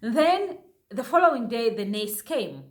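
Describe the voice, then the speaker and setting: A young woman from Zambia speaks to her viewers in a slightly high voice and at an unhurried pace. She talks casually, full of fillers, restarts and asides to her audience, like someone sharing a personal story as a new mother. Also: big pitch swings. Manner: chatty, many fillers and restarts, addresses viewers as guys.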